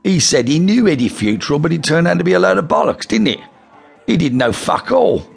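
A man's voice: on the high side, big pitch swings, brisk, gravelly, husky, soft background music, pitched above the performer's own voice, full character voice. A man voicing a cold, probing male gangster with a coarse, swearing manner, in a storybook reading.

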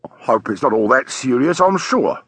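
A man voices a placid constable in a story reading. He is mild and soothing, a little formal. The voice is husky and recorded dry. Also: mid-range voice, lively intonation, moderate pace, a bit bright, no room sound. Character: polite, placid, helpful male police constable. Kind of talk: audiobook character voice.

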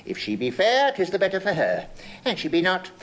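Huffy, fussy meddling uncle. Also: male voice, high-pitched, huge pitch swings, a little fast, fairly bright tone, exaggerated voice. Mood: defensive. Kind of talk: classical Shakespearean stage acting.

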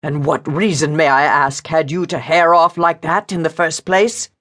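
Woman voicing a blustering, angry Regency gentleman. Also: deep voice, level tone, moderate pace. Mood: wrathful. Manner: blustering, domineering, archaic upper-class wording. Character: angry, overbearing Regency gentleman, booming and scolding.

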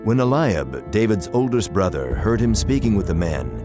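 Male narrator, very low voice, animated delivery, scripted scripture storytelling, music behind. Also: normal speed. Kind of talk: dramatized scripted Bible narration.

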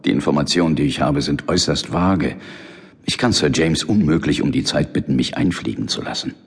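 An actor playing a sheepish, worried investigator in a German audio drama, hesitant and apologetic. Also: male voice, rumbling low, expressive, fairly quick, slightly rough, in a large hall, full character voice. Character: male investigator, sheepish, worried, urgently asking his friend along.

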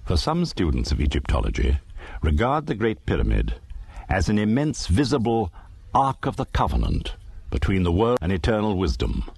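A man narrating a dramatised documentary in a grave, theatrical and deliberate manner. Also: low-pitched, huge pitch swings, creaky, darkish tone, husky, no echo.

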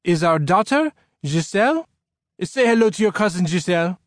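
A man voicing a warm, sincere middle-aged father and scientist with a French accent, courteous, as an audiobook character. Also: sits high, big pitch swings, moderate pace, dry recording, clean studio sound, pitched above the performer's own voice. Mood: warm, welcoming. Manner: earnest, courteous.

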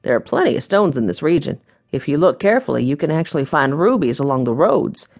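A woman's voice: sits low, very animated, quick pace, vocal fry, darkish tone, exaggerated voice. A woman voicing a friendly, businesslike man with a folksy, salesmanlike manner in a children's audiobook. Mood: welcoming, informative. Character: friendly businesslike man, owner of gem mine.

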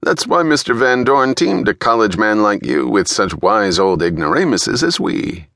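A man's voice: fairly low pitch, big pitch swings, normal speed, a bit bright, no room sound, studio quality, pitched above the performer's own voice. A man voicing a middle-aged veteran detective in an audiobook, a wry, teasing and mock-pompous mentor. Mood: teasing, cautioning.

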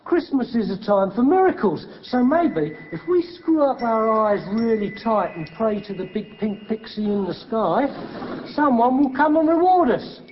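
An actor playing a blunt, simple-minded manservant. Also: male voice, extremely high pitch, very animated, normal speed, lo-fi sound, slight background noise. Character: dim, blunt male servant.

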